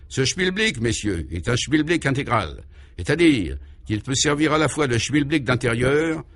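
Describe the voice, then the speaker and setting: A man's voice: medium pitch, a bit bright, natural voice. A man plays a pompous scientist in a radio comedy. He proudly declaims the workings of his absurd invention, piling up technical detail with grave emphasis. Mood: pompous, instructive.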